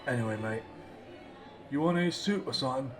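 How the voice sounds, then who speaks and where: Audio drama role: actor as rough man, medium pitch, offhand and needling, prominent music bed. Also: animated, fairly quick, close to an everyday voice. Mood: mocking, offhand. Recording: music behind the voice.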